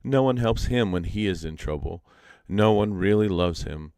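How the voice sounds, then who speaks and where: Deep-voiced man reading a storybook aloud. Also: lively intonation, moderate pace, creaky, a little mellow, no echo, studio quality. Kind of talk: live storybook narration.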